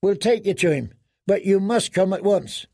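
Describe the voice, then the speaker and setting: A hard-edged warrior woman on a rescue, acted by a male narrator. The voice is pitched up, terse and commanding, in dramatic audiobook style. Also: animated, moderate pace, warm tone, dry recording, studio quality. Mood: urgent. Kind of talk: dramatic audiobook character reading.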